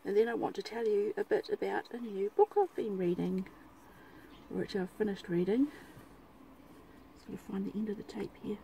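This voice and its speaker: A woman's voice: medium pitch, fairly even intonation, normal speed, gravelly, mellow, low-quality recording. A woman chatting casually and matter-of-factly in an outdoor vlog. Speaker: vlogger. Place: outdoors by a suburban road beside a car. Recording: outdoor roadside noise, passing vehicles.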